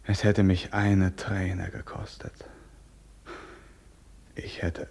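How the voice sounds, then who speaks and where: An actor playing an anguished noble young outlaw in a radio drama, brooding and declamatory. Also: male voice, low-pitched, flat delivery, drawn-out words, gravelly, darkish tone, full character voice. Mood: bitter anguish. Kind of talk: classic German radio drama acting.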